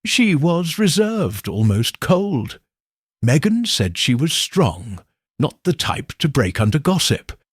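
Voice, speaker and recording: A troubled, suspicious man puzzling out a mystery , voiced by a male audiobook reader. He speaks slowly and seriously, halting in thought, with an expressive, slightly husky tone. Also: a little high, no room sound, clean studio sound, pitched above the performer's own voice, full character voice. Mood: thoughtful. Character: troubled, suspicious man investigating a village death. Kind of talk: audiobook character dialogue.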